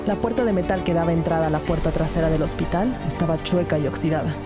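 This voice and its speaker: A woman's voice: deep voice, fast, loud music behind the voice, lightly acted. A woman with a Latin American Spanish accent playing an earnest young trainee detective in a scripted crime audio drama. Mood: grave narration. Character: young female trainee detective narrating her case, earnest and eager. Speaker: narrator and lead actor.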